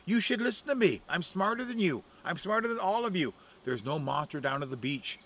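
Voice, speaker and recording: Man putting on a brash bragging crow, loud and high, pushy, storybook trickster. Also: animated, brisk. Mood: boastful. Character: boastful talkative male crow, loud and cocky.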